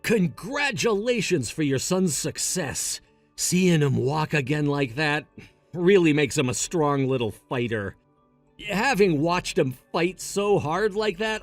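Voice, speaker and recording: A man's voice: fairly high pitch, expressive, measured pace, no echo, faint music behind. A man playing an earnest, sentimental family friend in a melodramatic audio story, speaking with heartfelt sincerity. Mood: moved, proud.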